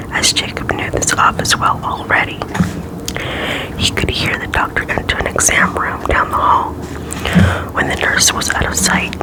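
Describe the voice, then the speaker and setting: An ASMR creator reading a chapter book aloud in a soft unvoiced whisper, with casual asides. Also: sits low, low-quality recording. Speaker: ASMR reader.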